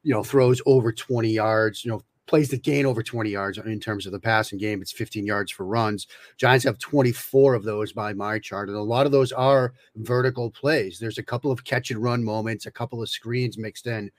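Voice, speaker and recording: A man's voice: fairly low pitch, steady tone, normal speed, no room sound. A man talking as a guest analyst, laying out points methodically with frequent fillers and self-restarts. His voice is dry and close, with no room sound. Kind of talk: casual podcast conversation.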